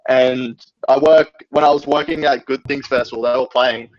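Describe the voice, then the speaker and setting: A man's voice: mid-range voice, steady tone, normal speed, no echo. A young man in casual group chat, slangy and enthusiastic. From Australia. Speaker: band member on a podcast. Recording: slightly dull, muffled audio.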